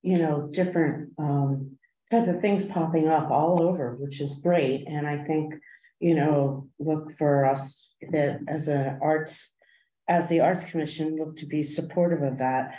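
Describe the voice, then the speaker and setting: A woman commenting informally and haltingly in a committee meeting. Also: low-pitched, fairly even intonation, warm tone. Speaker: arts commission member. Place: indoor meeting room. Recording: echoey meeting room.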